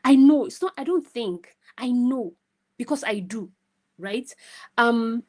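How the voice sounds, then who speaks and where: A woman's voice: on the high side, animated, slow pace, a little mellow. A woman giving casual, conversational advice as a guest mentor on a video call, emphatic and often checking in with the listener. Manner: emphatic, often tags phrases with "right?".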